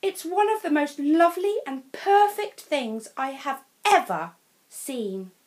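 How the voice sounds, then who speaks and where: A woman voicing a kind schoolteacher gently praising a child's treasure. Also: high-pitched, expressive, slow pace, voice pushed up. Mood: admiring. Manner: warm, wondering praise.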